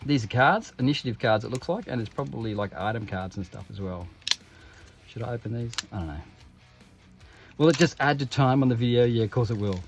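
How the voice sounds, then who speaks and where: A man's voice: mid-range voice, animated, fairly quick. Casual, jokey man chatting over faint music. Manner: informal, jokey, self-interrupting asides. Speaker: host of tabletop game unboxing videos. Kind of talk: casual unboxing commentary.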